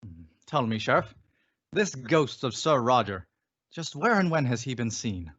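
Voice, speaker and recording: Man playing a bold detective, very animated. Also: medium pitch, measured pace. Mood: probing. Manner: theatrical, probing, self-assured. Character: bold, inquisitive gentleman detective, unafraid of ghosts. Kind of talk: old-time radio mystery acting. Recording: dull lo-fi radio play recording.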